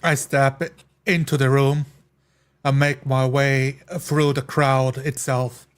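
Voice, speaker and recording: A man playing a tabletop fantasy game, describing his character's actions in halting, deliberate phrases. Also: medium pitch, flat delivery, clear, resonant tone. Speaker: tabletop roleplay player.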